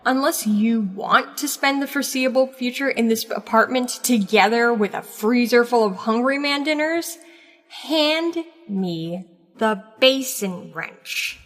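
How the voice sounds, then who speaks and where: A woman playing a bossy, sardonic tech-savvy agent in a comedy audio drama, speaking briskly. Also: fairly high pitch, a bit bright, long reverb tail. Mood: bossy, wry. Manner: brisk, sarcastic.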